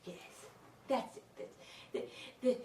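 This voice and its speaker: A woman playing a wistful, dreamy villager in a theatrical monologue, halting as she searches for words. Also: dark tone, slight background noise, close to an everyday voice. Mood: troubled, searching. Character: wistful, dreamy female villager longing for brighter days. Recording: echoey room, far from mic.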